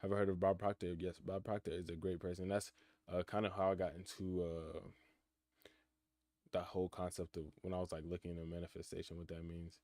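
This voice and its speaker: Young man, nineteen, low voice, dry close sound; relaxed streamer musing on chat questions. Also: brisk, no room sound. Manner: laid-back, reflective, reads chat questions aloud and answers. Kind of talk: casual live-stream chat. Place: his room in college housing. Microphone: computer mic.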